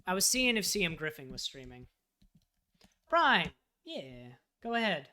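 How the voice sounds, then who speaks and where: A man with a bright, forward voice chatting casually on a livestream. He thinks aloud as he fills out a form and talks straight to his viewers. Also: sits high, very animated, measured pace. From United States. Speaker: live streamer and web developer.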